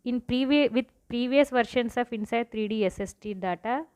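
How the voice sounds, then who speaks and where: A woman with an Indian accent giving an academic research presentation in a halting, explanatory way, often repeating herself. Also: on the high side, a little mellow, smooth. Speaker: research scientist presenting results.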